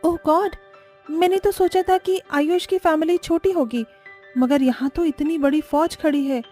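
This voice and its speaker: Spoiled, dramatic young bride played by a woman for animated family story; very high voice, exasperated, English exclamations, faint music. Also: measured pace. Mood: dismayed. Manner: mixes English exclamations into complaints.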